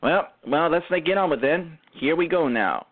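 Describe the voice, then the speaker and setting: A man hosting a radio show, reading a formal, wordy introduction with halting stumbles. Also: on the high side, expressive. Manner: formal, elaborate announcer phrasing with halting stumbles. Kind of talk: live scripted show introduction. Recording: tinny phone-like internet radio line.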